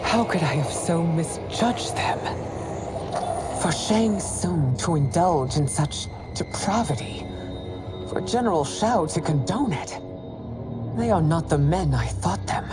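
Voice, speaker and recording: An actress playing a proud, stern middle-aged empress in a dramatic video game cutscene, speaking in a grave, regal manner. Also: female voice, fairly low pitch, lively intonation, takes it slowly. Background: dramatic music.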